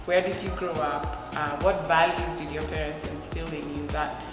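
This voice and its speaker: A woman hosting an interview podcast, asking curious questions with many ums and soft mm-hmms. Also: deep voice, fairly even intonation, moderate pace. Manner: warm, curious questioning with frequent filler sounds and soft affirmations. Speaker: podcast host. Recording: echoey room with long reverb and music bed.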